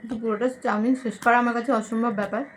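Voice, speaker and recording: A woman's voice: on the high side, steady tone, very fast, a little hiss or hum, low-quality recording. A woman chatting casually as a vlogger during an eating challenge. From Bengal. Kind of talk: casual vlog chat.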